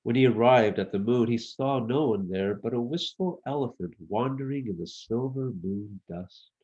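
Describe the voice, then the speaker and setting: A man reading his own children's book as a storyteller, with expressive, measured narration. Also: medium pitch, muffled recording.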